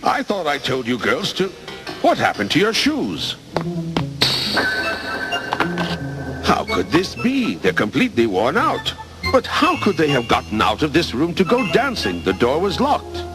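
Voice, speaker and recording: A man's voice: a little high, wildly swooping pitch, normal speed. Commanding king, wildly swooping voice. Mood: shocked, indignant. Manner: commanding, indignant. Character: stern, exasperated fairy-tale king and father of princesses. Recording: music behind.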